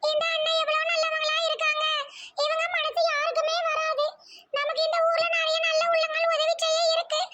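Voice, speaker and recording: A feisty little girl with an extremely high, piercingly bright cartoon voice. She speaks with a southern Tamil Nadu accent, stretching her words for emphasis and sounding earnest and easily exasperated. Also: completely flat delivery, normal speed. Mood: grateful. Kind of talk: amateur cartoon comedy voice acting.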